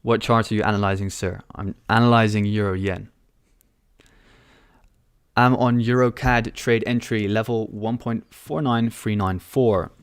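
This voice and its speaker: A man's voice: lowish voice, fairly even intonation, measured pace, clear, resonant tone, no room sound, clean studio sound. A young man hosting a live trading stream indoors on a headset mic, reading out chat questions and answering them in a casual, offhand way. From born in London. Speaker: trading livestream host. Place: small room indoors.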